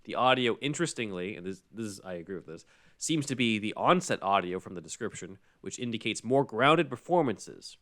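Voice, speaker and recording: A man's voice: on the low side, expressive, a little fast, a bit bright. A man hosting a podcast, chatting casually and explaining things as he reads out listener comments. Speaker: podcast host.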